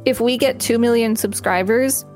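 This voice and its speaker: A woman co-hosting a podcast, reading an article aloud in a steady, matter-of-fact way. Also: fairly high pitch, normal speed, faint music behind. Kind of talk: casual read-aloud.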